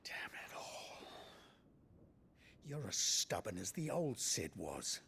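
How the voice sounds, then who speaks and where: An actor playing a gruff, worried resistance comrade in a video game, blunt and exasperated, with a gravelly voice. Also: male voice, fairly low pitch, big pitch swings, slow pace, bright, forceful tone, in a whisper, heavily acted. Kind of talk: video game cutscene acting.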